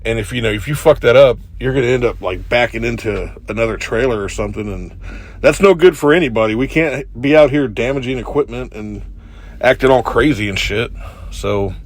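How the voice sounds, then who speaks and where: A truck driver with a head cold talking in a laid-back, joking way with plenty of swearing, in a casual driving vlog. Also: male voice, medium pitch, a little fast. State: congested with a cold.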